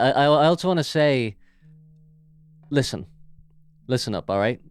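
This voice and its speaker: A man's voice: mid-range voice, clear, resonant tone. An Irish man hosting a casual solo podcast, rambling and jokey with lots of fillers, speaking into a big studio microphone. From Ireland. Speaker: podcast host.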